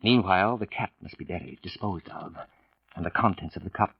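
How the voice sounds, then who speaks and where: A man narrating 1940s radio suspense in a dramatic scripted style, with deliberate pauses and ominous build-up. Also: low-pitched, slightly rough. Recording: dull vintage radio recording with music.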